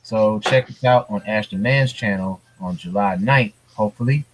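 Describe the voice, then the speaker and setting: A man co-hosting a casual gaming podcast from home, talking in a relaxed, rambling way with lots of fillers. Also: fairly low pitch, moderate pace, rough recording. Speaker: podcast co-host. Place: hurricane-prone US city.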